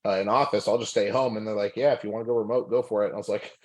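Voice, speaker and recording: A man's voice: fairly low pitch, racing. A young man from California, a former college football lineman, chatting candidly as a guest from his home, with lots of fillers and restarts. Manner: relaxed and candid, many fillers and restarts, laughs often. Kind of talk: casual interview chat. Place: home room.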